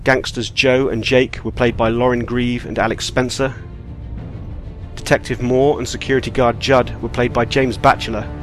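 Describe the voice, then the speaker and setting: A man delivers scripted end credits as an announcer. His pitch is average for a man. Music plays softly behind him. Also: level tone, normal speed. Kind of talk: scripted narration, reading credits. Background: faint music.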